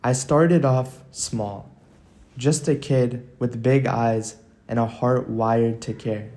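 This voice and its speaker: A man narrating a scripted motivational monologue in an earnest, deliberate way, leaving dramatic pauses between phrases. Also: medium pitch, fairly even intonation, warm tone.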